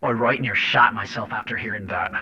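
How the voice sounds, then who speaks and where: A man's voice: medium pitch, a little fast, bright, forceful tone. A man acting a sardonic, hot-tempered agent who tells his story in colloquial first-person drama narration. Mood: brooding, wry. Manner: colloquial, wry, swearing. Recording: dull lo-fi cassette with music.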